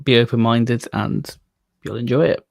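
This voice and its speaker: A man with a medium-pitched voice chats informally as co-host of a fan show. He offers opinions conversationally, and the recording is dry, with very little room sound. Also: steady tone, measured pace, creaky, no room sound. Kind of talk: casual chat.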